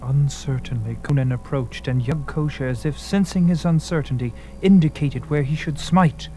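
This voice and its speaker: A man's voice: fairly high pitch, moderate pace, poor audio. A man giving a theatrical, suspenseful scripted narration of an adventure story, with music behind him. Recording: loud music under the voice. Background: loud dramatic music.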